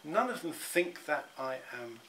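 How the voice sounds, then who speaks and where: Thoughtful middle-aged Englishman, halting restarts. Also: a little high, big pitch swings, pushed and bright, slight background noise. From England. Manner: thoughtful, many restarts and repeated words. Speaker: interviewee. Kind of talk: reflective personal interview.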